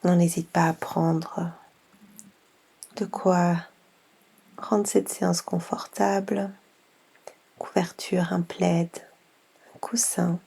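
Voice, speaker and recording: Slow, breathy woman guiding meditation. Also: fairly low pitch, flat delivery. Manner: soft, breathy, half-whispered; unhurried, gentle instructions with long pauses. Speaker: yoga nidra guide.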